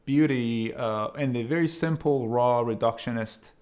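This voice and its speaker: A man from Iran giving an explanatory talk as a sound artist, in measured, hesitant phrases with pauses. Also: medium pitch, darkish tone. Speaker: sound artist presenting own work.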